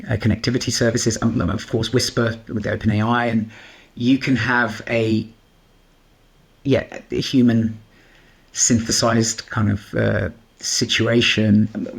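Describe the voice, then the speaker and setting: A man, a native Bengali speaker, guest on a podcast interview, explaining at length in halting speech full of restarts and fillers. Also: on the low side, vocal fry. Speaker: guest, AI company co-founder.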